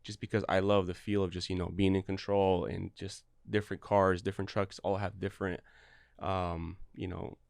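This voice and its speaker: A man's voice: sits low, flat delivery, moderate pace, creaky, no room sound, studio quality. A young man from Ukraine, a guest in a casual interview, talking earnestly and rambling through restarts. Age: young adult. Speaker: guest, real estate broker.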